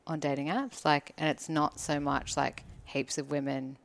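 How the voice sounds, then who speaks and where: A woman's voice: low-pitched, little pitch movement, moderate pace, creaky, dry recording. A young woman on a podcast, chatting casually in a blunt, opinionated way and swearing freely. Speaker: podcast guest. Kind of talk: casual chat.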